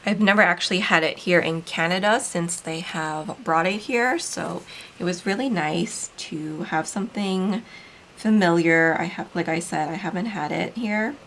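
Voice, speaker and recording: A woman from the Philippines casually narrating as she decorates a planner, chatty and relaxed, with frequent ums. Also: on the low side, normal speed, a little hiss or hum. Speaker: planner vlogger and shop owner.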